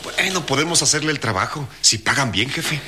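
A Mexican actor playing a humble, confident young cabinetmaker, colloquial and respectful with a touch of cheek, in a radio drama. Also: male voice, mid-range voice, animated, fairly quick, background noise, natural voice. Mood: friendly, respectful.

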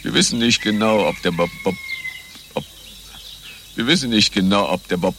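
Spy boss for radio satire: very low pitch, creaky, self-important, prone to stutters and botched words. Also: male voice, moderate pace, a bit bright, slight background noise. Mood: grave, pompous. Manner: stammers, stumbles over words and slips. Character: pompous stammering male spy chief, satirical. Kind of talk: satirical German radio play acting. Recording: music under the voice.

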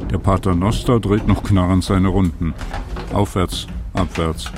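A man's voice: low-pitched, steady tone, a little slow. A man narrating a personal radio documentary in a reflective, wry, conversational way. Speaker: radio feature author and narrator.

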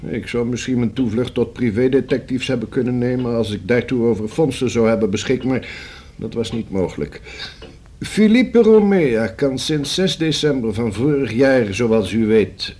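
A man's voice: medium pitch, lively intonation, normal speed, dark tone, close to an everyday voice. Man playing a stiff, guarded notary. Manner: stiff, formal, precise, evasive. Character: guarded, stiffly formal male notary withholding secrets. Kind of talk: radio drama acting. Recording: hissy old radio play recording.

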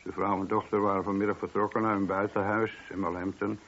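An actor playing a wry, informal police sergeant in a radio play, talking colloquially with a dry grumble. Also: male voice, on the low side, little pitch movement, fast, a bit bright, slight background noise. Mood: wry, informative. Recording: dull, lo-fi old radio recording.

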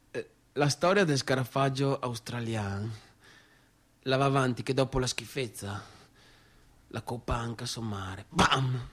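A man's voice: medium pitch, slow, pauses often. An actor playing a volatile, obsessive, defiant young man in a stage monologue, with a northern Italian accent and an agitated, repetitive delivery. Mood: agitated, insistent. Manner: agitated, repetitive, swinging from shouts to whispers. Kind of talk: theatrical monologue, stage acting.

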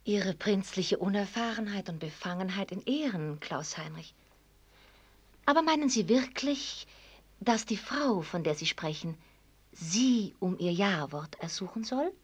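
Husky, proud, cerebral young woman. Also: medium pitch, lively intonation, a little slow. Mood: tender, formal. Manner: articulate, teasing and formal, breaking into fervent speech. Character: proud, cerebral young woman who rapturously loves mathematics. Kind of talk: German radio drama acting.

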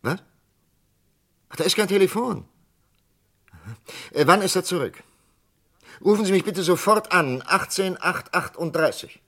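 An actor playing a persistent, probing doctor in a German radio crime drama, with an insistent, questioning manner. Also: male voice, sits high, lively intonation, measured pace, long pauses, a bit bright, full character voice. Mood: pressing, impatient. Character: persistent, probing male doctor challenging a death sentence. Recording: faintly noisy vintage radio drama recording.

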